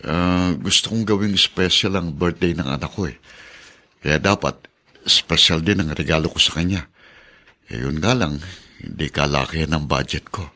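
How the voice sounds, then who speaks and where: A male actor playing a kind, humble, devout father and husband in a radio drama, earnest and expressive. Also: rumbling low, moderate pace, long pauses. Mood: fond, hesitant.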